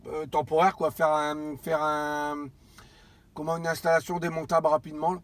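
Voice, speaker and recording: A man's voice: fairly high pitch, monotone, normal speed. A man around forty chatting casually as a CB radio operator, rambling and repeating his phrases. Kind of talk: casual radio contact chat.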